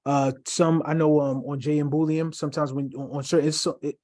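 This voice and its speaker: A man's voice: fairly high pitch, level tone, brisk, dark tone. A man co-hosting a casual livestream, upbeat and encouraging, reading out viewer questions. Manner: upbeat, encouraging, many fillers. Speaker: co-host.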